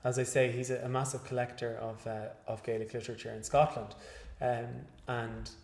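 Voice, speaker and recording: A male researcher giving an interview after a lecture, speaking in a measured, hesitant way with many fillers and restarts. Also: mid-range voice, level tone, a little mellow. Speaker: guest researcher. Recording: echoey room.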